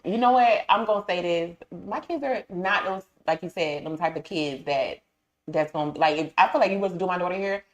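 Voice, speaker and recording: A woman, hosting a casual interview chat, animated and laughing often. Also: lowish voice, brisk. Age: 30s. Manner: animated, laughs often, calls guest "girl". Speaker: podcast host.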